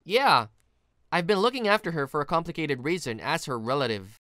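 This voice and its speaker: A woman's voice: sits low, big pitch swings, brisk, fairly bright tone, no room sound, clean studio sound. A woman playing a kind, responsible young office worker and uncle in a story, with an earnest, attentive manner. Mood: explaining. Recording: music behind the voice.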